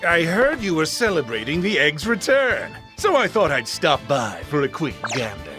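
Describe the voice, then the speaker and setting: A man's voice: fairly high pitch, wildly swooping pitch, moderate pace, sharp tone, soft background music, full character voice. A man playing a haughty, irritable male cat god in an anime game, imperious and languid. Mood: haughty, irritated.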